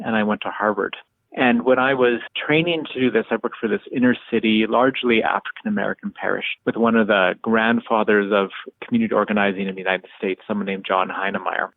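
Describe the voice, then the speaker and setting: A man's voice: lowish voice, fairly even intonation, quick pace, narrow, tinny audio. A man from Canada, a community organizer, telling his story in earnest, conversational interview answers. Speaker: lead organizer, interview guest.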